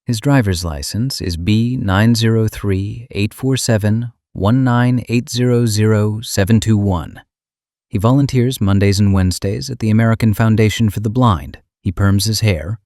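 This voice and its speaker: An actor playing an AI house manager in a radio drama, coolly literal and slyly meddling, with formal, pedantic speech. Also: male voice, on the low side, normal speed, darkish tone, dry recording, studio quality, lightly acted. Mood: matter-of-fact. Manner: formal, pedantic, matter-of-fact.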